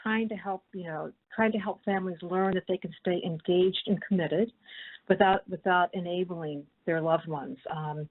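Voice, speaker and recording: A woman filmmaker speaking earnestly and haltingly, unscripted, on a panel call. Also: on the low side, steady tone. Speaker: documentary filmmaker. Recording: muffled narrow-band conference call line.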